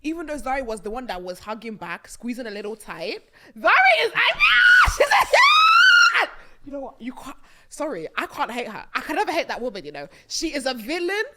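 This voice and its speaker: A young woman hosting a podcast from a small studio set, chatting emphatically about a reality show into a boom mic, with bursts of laughter. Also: huge pitch swings, normal speed, raised voice, very noisy. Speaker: podcast host. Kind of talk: casual chat reviewing a reality show. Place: small purple-lit studio set on a sofa. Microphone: studio mic on a boom arm.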